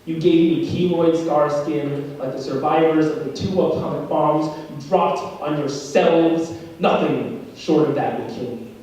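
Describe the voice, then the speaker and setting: Young man performing slam poetry, very echoey. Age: young adult. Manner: dramatic, swinging from loud shouts to low hushed lines. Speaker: slam poet. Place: school room. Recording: very echoey room, voice from far off.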